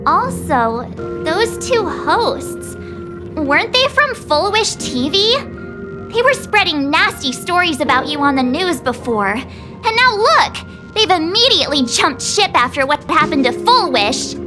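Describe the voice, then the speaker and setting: A chatty teenage girl adventurer from a game, extremely high-pitched. She is loyal, a little clingy and melodramatic, with clear music playing behind her. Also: sing-song, unhurried, exaggerated voice. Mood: indignant. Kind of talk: video game dialogue.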